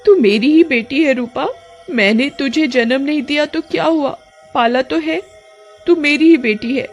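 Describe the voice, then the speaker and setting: A woman's voice: medium pitch, fairly even intonation, a little slow, mellow, soft background music, natural voice. A woman voicing a fierce snake-bodied female witch who cackles and threatens. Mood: tender.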